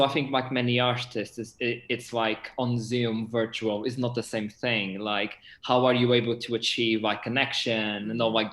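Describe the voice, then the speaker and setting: An echoey video call carries the voice of a young man with a Portuguese accent. His pitch sits in the middle range for a man, and he talks in an enthusiastic, casual interview style. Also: a bit bright. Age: 20s. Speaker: theatre director and actor. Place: indoor room, on a video call. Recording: roomy, echoing video call audio.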